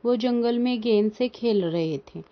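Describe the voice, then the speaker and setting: A woman's voice: fairly high pitch, unhurried. A woman reading scripted narration for a children's animal story. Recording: dull lo-fi sound.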